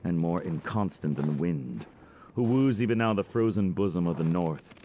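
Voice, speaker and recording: Man acting a witty fanciful young man, lowish voice, swooping pitch, theatrical Shakespearean storytelling, teasing. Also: animated, normal speed, warm tone, a little hiss or hum. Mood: wistful. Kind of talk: Shakespearean audio play acting.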